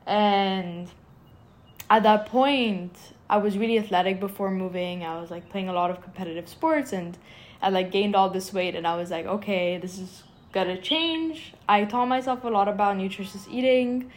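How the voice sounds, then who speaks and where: A woman's voice: medium pitch, fairly even intonation, moderate pace. A young woman from Egypt, a startup founder, answering interview questions in an earnest, eager way with lots of "like" fillers. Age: young adult.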